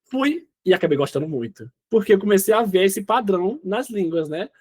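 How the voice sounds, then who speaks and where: Rapaz nordestino de uns vinte e poucos anos, voz aguda, conversa solta de entrevistado. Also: male voice, high voice, big pitch swings, a little fast, a little mellow, husky. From Northeast Brazil. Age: 20s. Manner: chatty, often says "né?" and "entendeu?". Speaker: interview guest. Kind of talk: casual interview chat.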